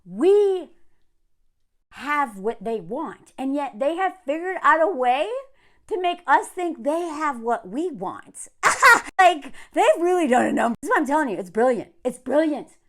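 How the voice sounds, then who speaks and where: An American woman from the South talking to camera in a casual, emphatic rant, sardonic and quick to find things funny. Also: high-pitched, very animated. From southern United States. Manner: emphatic, sardonic, laughing often. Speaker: online content creator.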